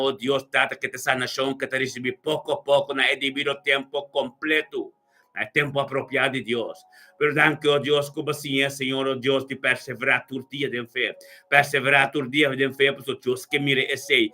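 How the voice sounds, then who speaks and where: A man prays aloud in a very bright, forceful voice of medium pitch. His delivery is fervent and improvised, built on repeated, chant-like invocations as he leads the prayer. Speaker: prayer leader. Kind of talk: extemporaneous charismatic prayer.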